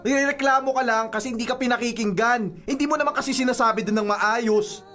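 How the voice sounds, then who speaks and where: A man's voice: extremely high pitch, fairly quick, sharp tone. A man playing a young boyfriend in a radio drama, pleading and stubborn, with music behind him. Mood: stubborn, irritated. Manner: coaxing, argumentative. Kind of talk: radio drama acting in Tagalog.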